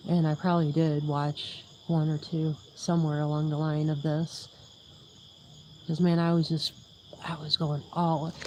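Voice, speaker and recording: A woman's voice: lowish voice, dark tone, slight background noise, poor audio. A woman hosting a livestream in casual, rambling chat, with a soothing voice, laughing often and losing her train of thought. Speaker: YouTube livestream host.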